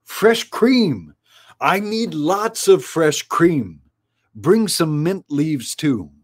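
A man voicing an enormously fat, jolly male mouse friar and cook who squeaks and chuckles excitedly. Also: very slow, heavily acted.

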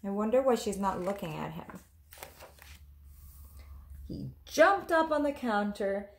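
A woman in her thirties reading a picture book aloud, expressive, deliberate and dramatic, like a teacher. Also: medium pitch. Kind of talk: expressive storybook read-aloud. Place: small room at home. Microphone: camera or phone at close range.

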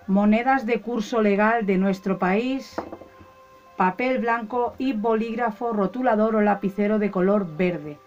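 A woman walks her viewers through a home ritual in a calm, instructive voice. Her voice sits in the middle range. The sound is a little dull and lo-fi, with faint music underneath. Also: mid-range voice. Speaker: ritual channel host. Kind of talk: step-by-step ritual demonstration. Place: indoors at a table, hands only in shot.

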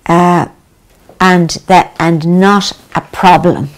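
An older Irish woman, a religious sister, talking thoughtfully in a living room interview with many pauses. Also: on the low side, steady tone. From Ireland. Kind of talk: reflective conversation.

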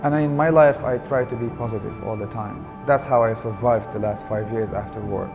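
A man in his thirties from Syria, with a Syrian Arabic accent, speaking in a soft-spoken, reflective documentary interview. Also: fairly low pitch, steady tone, normal speed. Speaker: artist. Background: background music.

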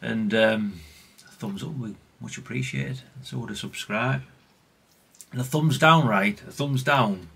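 A middle-aged British man talks through hobby repairs off the cuff. His voice is husky and creaky, with a forceful, bright edge. He rambles along chattily and plainly, often tagging questions onto his remarks. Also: lowish voice, measured pace. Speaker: hobbyist radio repair vlogger. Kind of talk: casual unscripted workbench commentary.

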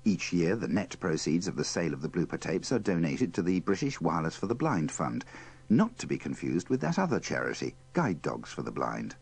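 Very deep, gravelly male presenter. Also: sing-song, brisk, a little mellow. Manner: dry, tongue-in-cheek. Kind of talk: scripted wry narration.

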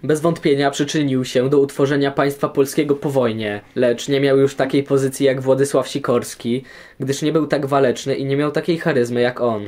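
A man's voice: mid-range voice, fairly even intonation, moderate pace, darkish tone. A young man reading scripted history commentary as a guest on an audio programme.

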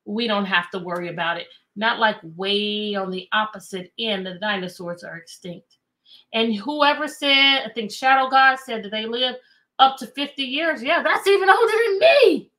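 Bright, high-voiced American woman teacher. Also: moderate pace, poor audio. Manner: animated, question-asking, chatty asides to young listeners. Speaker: teacher and read-aloud host. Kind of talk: interactive children's read-aloud lesson. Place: indoor room with bookshelves.

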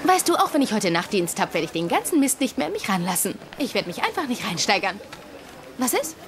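An actress playing a high-strung young woman doctor trying to stay calm, in a German-dubbed TV comedy-drama. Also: brisk. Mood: determined.

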